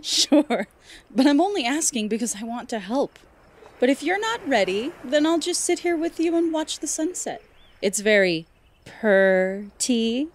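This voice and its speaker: A woman acts as a young woman with a slightly high, very animated voice. She is easygoing and caring, with gentle, casual, halting phrasing suited to audiobook dialogue. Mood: light, supportive.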